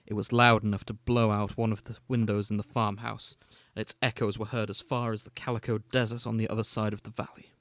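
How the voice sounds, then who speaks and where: A man's voice: lowish voice, level tone, a little fast, hardly any pauses, a bit bright, no echo. Man podcasting, very dry close sound. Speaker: podcast co-host. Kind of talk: casual chat about fanfiction, with passages read aloud.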